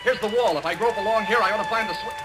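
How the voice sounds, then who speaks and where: A man's voice: sits high, racing, over loud music, lightly acted. A man acting in an old-time radio mystery as an earnest, anxious young man trying to stay reasonable. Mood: anxious, groping. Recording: noisy old radio recording.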